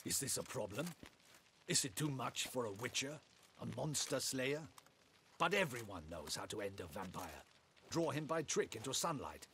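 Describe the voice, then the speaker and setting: An actor playing a proud, blustering captain of the guard in a video game, with a formal, pompous and scornful manner. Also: male voice, mid-range voice, big pitch swings, moderate pace, fairly bright tone, a little hiss or hum. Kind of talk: video game cutscene acting.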